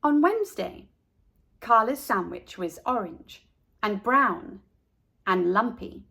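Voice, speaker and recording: A woman reading a children's picture book aloud as a storyteller, in a deliberate, expressive manner. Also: mid-range voice, creaky, husky. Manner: slow, deliberate, animated. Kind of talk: expressive read-aloud narration for kids.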